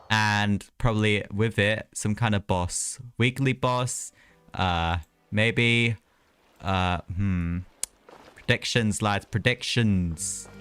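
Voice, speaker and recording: A man with a fairly low voice chats casually as he streams a game. He rambles through asides and pokes fun at himself, with faint game music behind him. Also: a bit bright. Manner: chatty, self-mocking, rambling asides. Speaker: livestreamer. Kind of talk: casual gameplay commentary.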